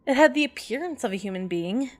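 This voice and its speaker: A woman narrating a scripted first-person horror story in an expressive, dramatic storytelling manner. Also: on the high side, quick pace. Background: background music.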